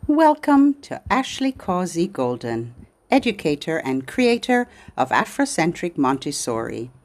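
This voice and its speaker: A woman's voice: sits low, very animated, measured pace. A woman hosting a children's program, speaking in simple phrases meant for small children. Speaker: host of a children's literacy podcast. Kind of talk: sing-along children's program narration with songs.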